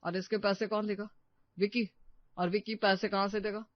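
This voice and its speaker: A woman's voice: medium pitch, flat delivery, brisk, no echo, natural voice. A woman voicing a disapproving, money-minded elder brother in an audio story, stern and objecting. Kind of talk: Hindi audio story acting. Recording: slightly dull, dry studio recording.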